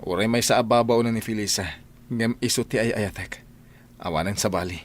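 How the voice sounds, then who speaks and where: A man acts out a defiant adult son in a radio drama. His voice sits fairly low and carries an Ilocano accent. He speaks emphatically, arguing and holding his ground. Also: fairly even intonation, a little fast, no echo, close to an everyday voice. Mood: bitter, defiant. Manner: emphatic, argumentative. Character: defiant grown son standing up to his mother.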